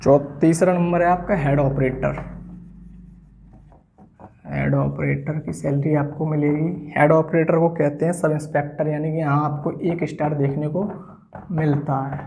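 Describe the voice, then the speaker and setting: A young man gives a whiteboard-style lesson in a soft-edged, mellow voice. His pitch sits a little high for a man. He explains things step by step and often repeats his points. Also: creaky, slight background noise. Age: 20s. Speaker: exam-coaching teacher. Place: small indoor room at a whiteboard.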